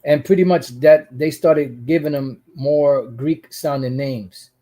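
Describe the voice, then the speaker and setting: A man's voice: a little high, slow pace, dark tone. A man hosting his channel, giving casual religious commentary and reading out scripture in a rambling way, full of asides and restarts. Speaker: channel host.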